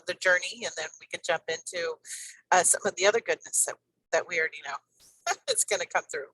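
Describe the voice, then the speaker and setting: A woman's voice: lowish voice, sharp tone, dry recording, poor audio. A woman in her fifties hosting a podcast, talking to her guest in an enthusiastic, rambling way full of fillers. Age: 50s. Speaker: podcast host. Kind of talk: conversational interview.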